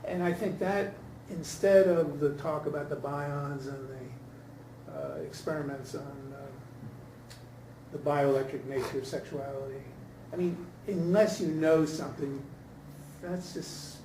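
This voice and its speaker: A man's voice: a little high, steady tone, a little slow. A man giving hesitant, informal feedback in a group discussion, thinking aloud as he goes. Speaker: audience member, scholar giving feedback. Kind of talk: informal spoken critique at a talkback. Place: indoor room at a post-reading discussion, far from the mic. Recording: distant roomy recording with background noise.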